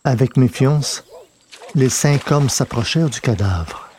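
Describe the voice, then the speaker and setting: A man narrating a scripted dramatic story in a measured, literary delivery. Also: medium pitch, lively intonation, darkish tone. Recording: noticeable background noise.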